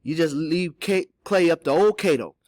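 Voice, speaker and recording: A man's voice: on the high side, moderate pace, darkish tone, no room sound, studio quality, voice pushed up, natural voice. A man voicing an old enslaved Southern man in an audiobook, sly and hopeful, giving urgent, folksy counsel. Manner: whispering Southern dialect speech, urgent and folksy.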